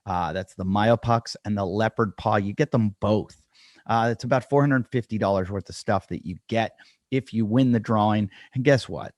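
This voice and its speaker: A man's voice: fairly low pitch, normal speed, darkish tone, no room sound, clean studio sound. A man hosting a podcast, talking casually and self-deprecatingly with lots of fillers as he introduces the show and his guest. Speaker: podcast host. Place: dry room at home.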